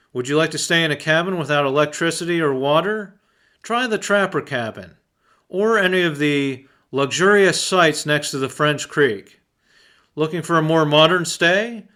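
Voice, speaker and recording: A man puts on a cheesy male announcer for radio-style ads, pitched up and showy. The delivery is exaggerated and drawn out, selling every phrase with upbeat salesmanship. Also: lively intonation, unhurried, bright, forceful tone. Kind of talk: advertisement read.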